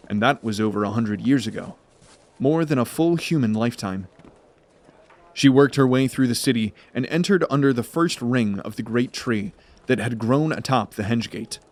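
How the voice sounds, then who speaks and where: A man narrating a scripted fantasy story in a measured, dramatic storytelling manner. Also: medium pitch.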